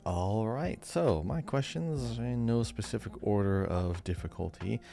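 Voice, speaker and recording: A male podcast host with a very low, mellow voice reads out quiz questions in a casual way. His delivery swings noticeably in pitch while staying deliberate, with some music playing behind him. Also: lively intonation, gravelly.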